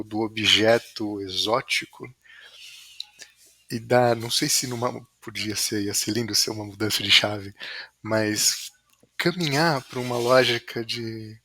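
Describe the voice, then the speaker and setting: A man's voice: medium pitch, bright, forceful tone. A man hosting an interview, asking long enthusiastic questions. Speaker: podcast host.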